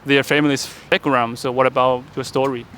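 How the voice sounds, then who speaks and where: A man hosts a street interview, firing off short questions and frequent yeahs. He talks quickly. Faint traffic noise sits behind him. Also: medium pitch, flat delivery, a bit bright. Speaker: host and interviewer. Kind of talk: street interview and sponsor read.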